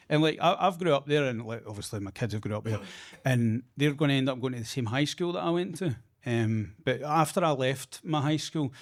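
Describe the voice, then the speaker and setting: Halting deadpan Scottish comedian. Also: male voice, mid-range voice, creaky. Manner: halting, repeats words, deadpan delivery. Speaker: stand-up comedian. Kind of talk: stand-up set. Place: basement comedy club.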